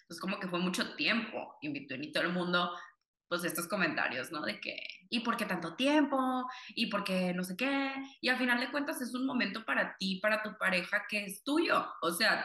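A woman's voice: mid-range voice, bright, forceful tone. A young woman from Cuba, raised in Monterrey, chatting casually with filler-heavy, rambling reflections. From Cuba, raised in Monterrey, Mexico. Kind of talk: casual conversation. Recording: echoey room.

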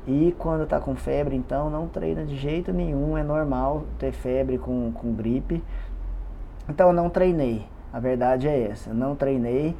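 A man's voice: medium pitch, normal speed, gravelly, warm tone, a little hiss or hum. Congested man chatting casually. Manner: chatty, repeats words for emphasis. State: congested, stuffy nose. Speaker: vlogger documenting a fitness challenge. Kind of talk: casual confessional vlog.

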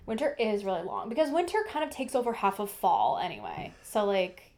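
A young woman co-hosts a relaxed podcast chat. She rambles along in a friendly way, padding her sentences with "like" and "um.". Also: medium pitch, a little fast. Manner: chatty, rambling, full of "like" and "um". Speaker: podcast co-host. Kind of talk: casual chat.

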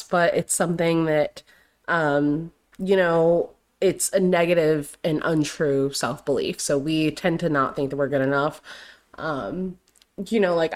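A young adult woman hosting a casual solo podcast, talking loosely with lots of fillers, her voice going a little raspy. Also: sits low. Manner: rambling, heavy on fillers and "like". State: slightly raspy voice. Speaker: podcast host.

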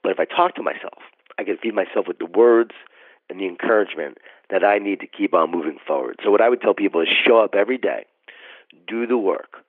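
Hoarse man on a tinny line. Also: fairly quick, no room sound. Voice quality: scratchy, deep from pollen allergy. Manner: energetic, preachy motivational delivery. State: hoarse from allergies. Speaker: guest author and speaker. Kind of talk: motivational podcast interview.

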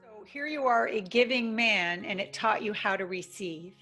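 A woman hosts an interview and asks her questions in an encouraging, affirming way. Her pitch is average for a woman. Faint music plays behind her. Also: moderate pace, bright, forceful tone. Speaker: podcast host and interviewer. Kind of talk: interview, casual chat.

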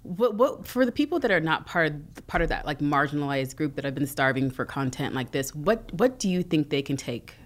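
A woman's voice: low voice, lively intonation, a little fast, few pauses. A woman interviewing an actor, asking her questions eagerly and stacking up fillers such as "I mean" and "like".

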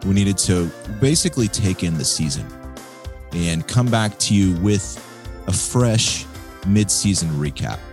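An American man hosting a podcast in casual, slangy chat, with a husky voice and a laid-back manner. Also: sits low, lively intonation, a little slow, a little mellow, soft background music. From United States. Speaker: podcast host. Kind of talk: casual chat about motor racing.

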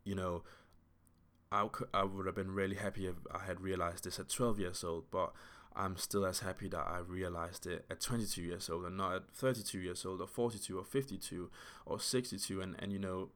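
A man's voice: sits low, steady tone, brisk, fairly bright tone. A man in his twenties hosting a solo podcast, talking in an earnest, reflective way with many fillers and restarts. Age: 20s. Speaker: podcast host.

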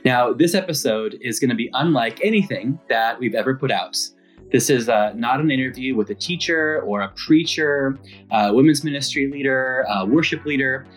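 A man's voice: medium pitch, normal speed, soft background music, poor audio. Easygoing man hosting a podcast. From California. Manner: easygoing, chuckles often, hesitant fillers. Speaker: podcast host. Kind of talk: casual interview chat. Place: lives in Ireland.

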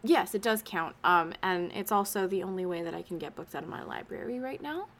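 A young woman with a bright, clear tone co-hosts a relaxed podcast. She talks easily, laughs between thoughts and sounds a bit stuffy from allergies. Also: lowish voice, a little fast. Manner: chatty, laughs often, sniffly from allergies. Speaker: podcast co-host. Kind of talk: casual podcast chat.

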